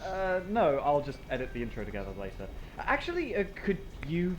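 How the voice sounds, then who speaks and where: A man's voice: fairly high pitch, huge pitch swings, a little fast, slight background noise. A man playing a grumpy, awkward co-host in a comedy skit, hesitant and literal-minded. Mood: awkward, fussy. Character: grumpy, awkward male co-host fussing over recording. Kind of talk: comedic animated audio skit.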